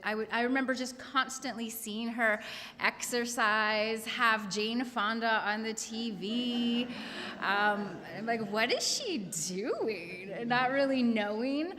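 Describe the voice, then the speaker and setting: A woman from Saskatchewan presents at a conference in an earnest, measured way. Her voice sits somewhat high. The long reverb of a hall surrounds her words. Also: a bit bright, a little hiss or hum. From Saskatchewan, Canada. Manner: earnest, measured, some hesitations. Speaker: conference panelist.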